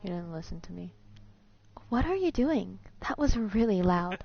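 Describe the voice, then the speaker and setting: A woman's voice: mid-range voice, very animated, moderate pace, creaky. A woman live streamer casually commenting on a game in a playful, sarcastic way. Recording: slightly muffled stream with game music.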